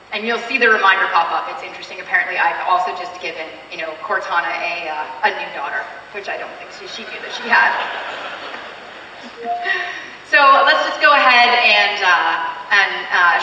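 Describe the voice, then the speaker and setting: A woman's voice: mid-range voice, sharp tone, a little hiss or hum. Upbeat Canadian woman presenting on stage. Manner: upbeat, chatty, filler-heavy. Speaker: keynote presenter. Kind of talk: live product demo on stage. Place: large convention hall stage. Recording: distant mic in echoey hall.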